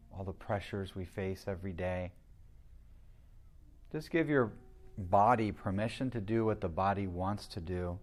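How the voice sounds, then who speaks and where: Low-voiced man guiding a meditation. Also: darkish tone. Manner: soothing, unhurried, with long pauses. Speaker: meditation teacher. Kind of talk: guided meditation.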